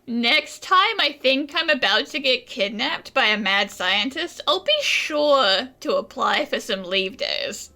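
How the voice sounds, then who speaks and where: A woman streams a game with casual, sarcastic commentary. Her voice is high and bright, and it swings freely in pitch. She talks in an unhurried, slangy way. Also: animated. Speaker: gaming streamer.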